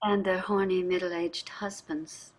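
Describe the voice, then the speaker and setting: An actress playing a wealthy, anxious, combative wife in a staged play reading, speaking with earnest, exasperated emphasis. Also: female voice, fairly low pitch, little pitch movement, takes it slowly, rough recording. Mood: defensive.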